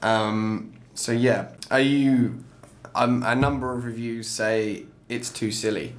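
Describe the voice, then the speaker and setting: A man's voice: mid-range voice, steady tone, slow. A young man hosting a casual film podcast in a small studio, with dry, teasing humour. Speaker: podcast host.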